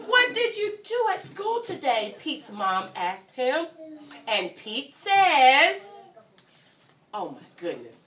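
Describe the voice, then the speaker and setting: A woman reading a story aloud to young children in an animated, teacherly way, prompting them to join in and breaking into sung refrains. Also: high-pitched, takes it slowly. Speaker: guest story-time reader for young children. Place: indoor room at a childcare centre. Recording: echoey room, distant mic, children around.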